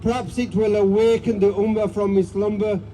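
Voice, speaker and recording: Man, high voice, very dark mellow tone, earnest poem recitation, outdoor rally, faint traffic behind. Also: little pitch movement. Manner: earnest, measured reading with some ums at the start. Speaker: protest rally speaker. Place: outdoors in cold weather at a street rally.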